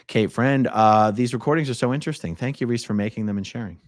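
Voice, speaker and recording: A man's voice: medium pitch, quick pace, dry recording, clean studio sound. A man hosting a live stream, reading viewer messages and commenting in a casual, blunt, conversational way.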